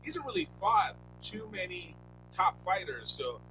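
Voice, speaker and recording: A man co-hosting a casual sports podcast, talking expressively with sharp jumps in pitch on key words. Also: huge pitch swings, a little slow, music in the background. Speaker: podcast co-host. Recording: noisy line with hum.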